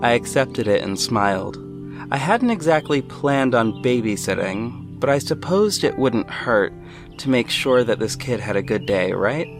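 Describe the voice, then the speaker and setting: A man's voice: medium pitch, animated, measured pace. A man narrating an audio drama in the first person, in a reflective storytelling style. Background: music under the voice.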